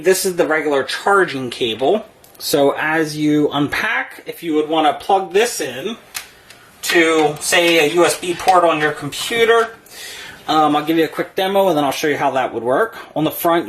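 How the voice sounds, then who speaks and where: A product reviewer giving an unscripted demonstration in a matter-of-fact, explanatory way. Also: medium pitch.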